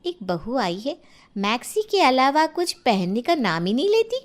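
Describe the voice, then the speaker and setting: A gossipy older village auntie acted by a woman. She grumbles dramatically in a high, swooping voice and takes her time over each complaint. It suits a storybook tale. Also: sing-song, unhurried. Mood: grumbling, gossipy. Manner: dramatic, rustic, exclamatory grumbling.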